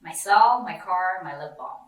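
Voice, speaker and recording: A woman with a slightly low voice gives short, matter-of-fact interview answers. The room is clearly echoey and she is a bit away from the microphone. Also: lively intonation, unhurried. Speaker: office employee being interviewed. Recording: echoey room, distant mic.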